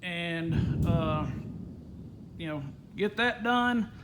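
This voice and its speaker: A man's voice: on the high side, very slow, background noise. A man giving a casual hands-on walkthrough in a folksy, rambling way. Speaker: aviation vlogger. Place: inside a small plane at an airport.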